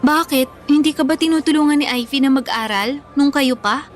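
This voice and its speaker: An actress voices a sincere, plucky high school underdog in a Filipino radio drama. Her high, girlish voice is earnest, set over gentle background music. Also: moderate pace, lightly acted. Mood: shy, tender. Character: sincere, plucky bullied teenage schoolgirl in love.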